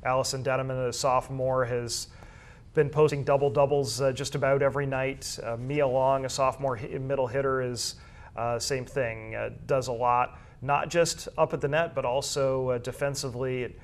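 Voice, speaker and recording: A man talks through high school sports as a commentator would in a relaxed discussion. His voice sits at an average pitch. He unfolds long, measured explanations with small hesitations along the way.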